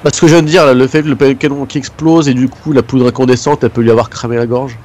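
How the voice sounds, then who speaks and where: A man playing a tabletop game, eagerly pitching ideas to the table. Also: fairly even intonation, darkish tone. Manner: eager, talks fast while pitching ideas. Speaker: player. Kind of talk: casual play at a tabletop game.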